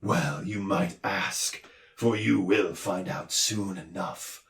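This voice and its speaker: A man voicing a pompous male doctor who lectures loudly and roars, in a storybook reading. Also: fairly low pitch, takes it slowly, gravelly, roomy sound, far from the mic, heavily acted. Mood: grave. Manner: loud, roaring, lecturing. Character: booming gruff male noise doctor, pompous.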